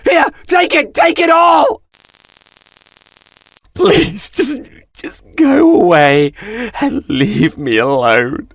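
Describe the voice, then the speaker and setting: Casting: male actor as tormented cartoon victim for a horror game, bitter and volatile, slow theatrical delivery, huge pitch swings, music underneath. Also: very animated, creaky, fairly bright tone, no room sound, raised voice, exaggerated voice. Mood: desperate pleading. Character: tormented cartoon man, bitter, taunting, vengeful, then desperate. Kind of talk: dramatic visual novel game voice acting.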